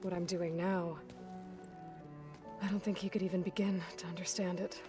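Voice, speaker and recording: A determined young warrior woman voiced by an actress in an adventure game. Her voice sits slightly low, guarded and matter-of-fact, over clearly audible music. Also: flat delivery, normal speed, close to an everyday voice. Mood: subdued, reflective. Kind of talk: video game acting. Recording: music under the voice.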